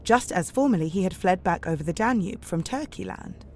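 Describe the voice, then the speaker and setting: A woman's voice: on the low side, expressive, fairly quick, a little hiss or hum, natural voice. An actress playing an earnest, devout young Victorian woman, formal and articulate, in an audio drama. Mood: composed, reasoning.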